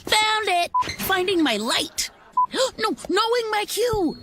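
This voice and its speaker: A woman's voice: very high-pitched, expressive, takes it slowly, fairly bright tone. A woman speaking short, reflective lines in a commercial.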